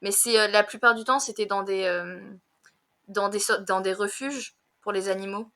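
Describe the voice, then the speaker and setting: A young woman tutoring French on a video call. She talks fast, laughs a lot and fills her speech with hesitations. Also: medium pitch, bright, forceful tone. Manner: fast-talking, giggly, many fillers. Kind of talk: casual conversation lesson.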